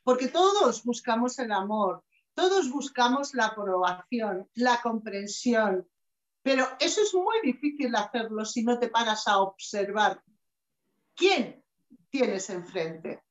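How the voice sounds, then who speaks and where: A middle-aged woman speaks slowly and emphatically in a slightly high voice. She gives an inspirational talk over a webcam, and the small office around her sounds echoey. The sound is dull and lo-fi. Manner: slow, measured and emphatic, with expressive hand gestures. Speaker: conference speaker. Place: small office with shelves, indoors. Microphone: webcam video call.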